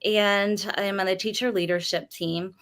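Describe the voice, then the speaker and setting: A woman in her fifties from the Midwest, a longtime teacher chatting as a guest, laughing often and sounding excited. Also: mid-range voice, moderate pace. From Midwest of the United States. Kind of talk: casual chat.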